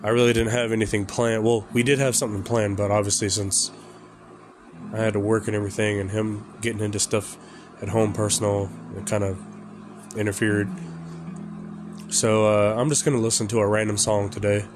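A man hosting a casual podcast, stuffy and congested and exhausted from lack of sleep, talking in a rambling, halting way with fillers. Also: lowish voice, flat delivery, noisy background. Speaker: podcast host. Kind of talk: casual song reaction chat. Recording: music playing loudly behind him. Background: rock music.

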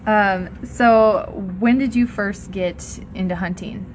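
Junge Frau als Podcast-Moderatorin. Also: female voice, medium pitch, slow, slight background noise, low-quality recording. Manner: laughs often, asks questions. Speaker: podcast host. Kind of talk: casual interview chat.

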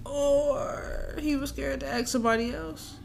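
A woman's voice: sits high, steady tone, a little slow, gravelly, a little hiss or hum. A woman co-hosting a podcast in blunt, playful casual banter. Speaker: podcast co-host.

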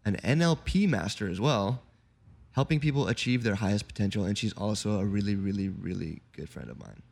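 Low-voiced, effusive man co-hosting. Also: brisk, vocal fry, a little mellow. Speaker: podcast co-host. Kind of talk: casual interview chat.

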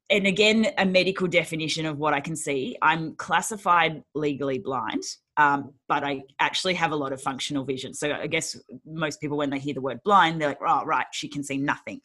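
A woman's voice: sits low, a bit bright. A young Australian woman from Sydney, a guest in a casual interview, talking in an upbeat, chatty way with many fillers and frequent laughs. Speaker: guest, former teacher and Paralympian.

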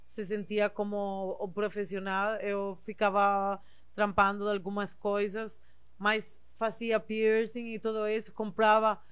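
A woman from southern Spain, a native Spanish speaker, talking casually in non-native Portuguese with a Spanish accent, slightly halting and mixing in Spanish words. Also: mid-range voice, completely flat delivery, clear, resonant tone. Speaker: interview guest, body piercer. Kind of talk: casual conversational storytelling.

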